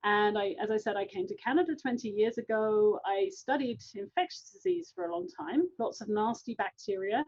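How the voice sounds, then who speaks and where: A woman's voice: medium pitch, expressive, normal speed, gravelly, hardly any pauses, darkish tone. Middle-aged english lady from london chatting through her science talk, keen and informal, bit muffled audio. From London, England. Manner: informal, enthusiastic. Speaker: guest scientist, university professor. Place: online video call.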